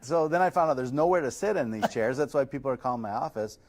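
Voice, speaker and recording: A man, a chiropractor, guesting on a podcast, telling stories in a relaxed, self-deprecating, fairly monotone way. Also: mid-range voice, fast talker. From Michigan. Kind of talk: podcast interview.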